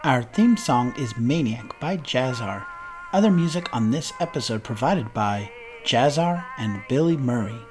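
Man, animated pitch range, scripted outro announcement, podcast host, music underneath. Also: a little slow. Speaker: podcast host reading credits. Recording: music bed behind voice.

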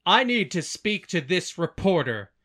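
A woman's voice: on the low side, very animated, measured pace, fairly bright tone, exaggerated voice. A woman voicing a pompous, heroic male cavalry captain declaiming grandly in a stage play within an audiobook.

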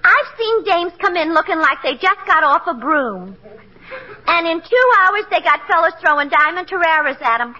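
An actress playing a chatty, wisecracking beauty operator in 1940s radio comedy, gossipy, with mangled would-be genteel grammar. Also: female voice, extremely high pitch, animated, normal speed, pushed and bright, exaggerated voice. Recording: dull, noisy 1940s radio recording.

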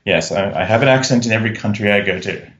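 A man from Canada with an accent mixing Canadian and Australian, speaking as a podcast guest in a relaxed, matter-of-fact way. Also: on the low side, fast talker, reverberant, highs cut off. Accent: Canadian with Australian influence. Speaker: podcast guest, SEO consultant. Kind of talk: casual interview chat.

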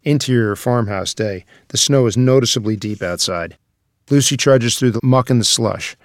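A man narrates a screenplay's stage directions. His voice is medium-pitched and recorded very dry, with the scripted delivery of someone reading scene descriptions. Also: moderate pace, no echo.

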